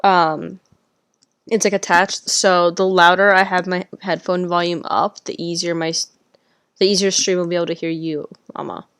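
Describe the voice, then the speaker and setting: A chatty woman cohosts a podcast over a headset mic from home. Her voice is smooth and clear, and the sound is dry and close. She talks casually and drops the odd curse. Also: lowish voice, fairly even intonation, moderate pace, fairly bright tone, no echo. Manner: chatty, swears casually, explains tech setup. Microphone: headset microphone.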